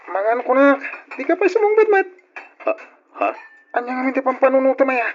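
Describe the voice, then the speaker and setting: A man's voice: very high-pitched, very animated, a little fast, soft background music. An actor with an Ilocano accent playing a devoted, polite young working man in love, in an old radio drama. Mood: worried, puzzled. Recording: muffled, noisy old radio drama recording.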